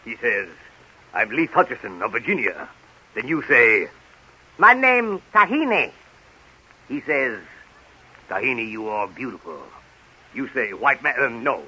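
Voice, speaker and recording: An actor playing a bossy, exasperated Hollywood film director who barks orders excitably in a 1930s radio comedy. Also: male voice, medium pitch, a little slow, long pauses, breathy edge, exaggerated voice. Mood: coaching, impatient. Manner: barking orders, excitable, emphatic. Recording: muffled, noisy 1930s radio recording.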